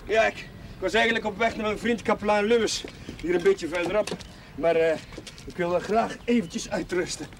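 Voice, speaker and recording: An actor playing a kindly young village chaplain in a television period drama, gentle, courteous and a little hesitant. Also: male voice, high-pitched, moderate pace, a little hiss or hum. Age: young adult.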